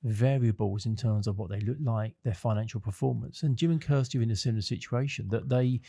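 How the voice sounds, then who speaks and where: A man's voice: medium pitch, fast talker, vocal fry, no echo. Chatty male podcast co-host, dry studio sound. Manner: chatty, jokey, repeats words when excited. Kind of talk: casual conversational interview.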